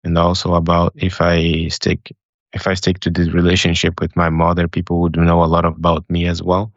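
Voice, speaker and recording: A man calling in for advice, speaking casually in a hesitant, halting, deferential way. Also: deep voice, monotone, no echo, highs cut off. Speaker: caller seeking advice. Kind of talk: casual conversation.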